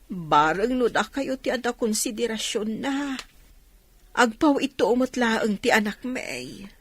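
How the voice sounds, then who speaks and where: A woman's voice: on the high side, unhurried, husky, no echo. An actress playing a worried poor mother, plaintive and beseeching, in an Ilocano radio drama. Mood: distressed, pleading. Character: pleading, worried poor mother of a sick child.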